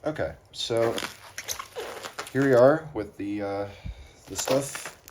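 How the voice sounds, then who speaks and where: A man giving casual, unscripted commentary as a hobbyist doing an unboxing, speaking haltingly with pauses. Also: mid-range voice. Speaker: hobbyist unboxing weather radios. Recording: clear background noise, handling clicks.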